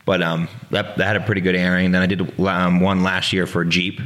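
A man's voice: low voice, flat delivery, fairly quick, a bit bright. A man, a snowboarder guest on a podcast, chatting in an easygoing, encouraging way with lots of fillers. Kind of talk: casual podcast chat.

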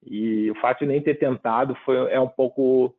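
A man's voice: medium pitch, fairly even intonation, normal speed. A man from southern Brazil, giving reflective interview answers and often ending with tag questions. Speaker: guest. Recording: phone-like narrow line.

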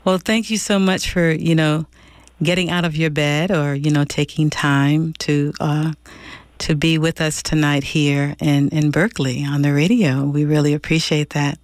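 A radio host speaks in a mid-pitched voice from a studio, where the sound is dry and close. They lead the interview chattily and hesitantly, stumbling over their words. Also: steady tone, normal speed, no echo.

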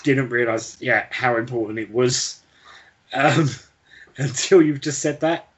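Male podcast co-host, medium pitch, informal conversational delivery for a book discussion. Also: measured pace. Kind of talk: casual book discussion chat.

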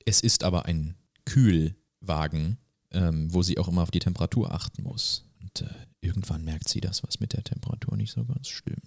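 A man's voice: sits low, moderate pace, vocal fry, few pauses, no room sound. A man co-hosting a podcast, chatting casually in a dry, tongue-in-cheek way. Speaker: podcast co-host. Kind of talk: casual chat.

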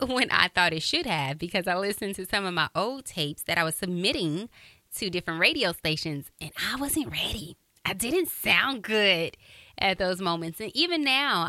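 A woman radio broadcaster hosting a motivational podcast, with a high voice and an upbeat, encouraging way of talking. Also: sharp tone, no echo.

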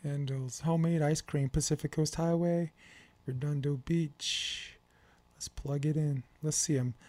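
A man's voice: fairly high pitch, fairly even intonation, unhurried, darkish tone, dry recording. Slangy American man in his fifties, joking. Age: 50s. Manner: slangy, joking, given to rambling advice. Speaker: podcast host. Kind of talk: casual chat.

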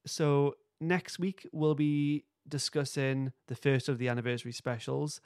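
A man's voice: a little high, little pitch movement, measured pace, darkish tone, clean tone, no echo, clean studio sound. A man hosting a podcast, chatting casually in an informal, understated way. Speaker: podcast host. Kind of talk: casual review chat.

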